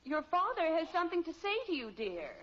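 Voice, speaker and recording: An actress playing a patient, gently firm American wife and mother in a 1950s radio sitcom, with a calm, reasoning manner. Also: extremely high pitch, fast talker, slight background noise. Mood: calm, coaxing. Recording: muffled old radio recording.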